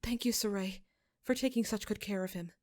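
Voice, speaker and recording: Noble mother acted by the reader, a woman: raised pitch, caring, composed, articulate, fantasy audio story. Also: a little fast, a little mellow, natural voice. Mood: relieved, grateful.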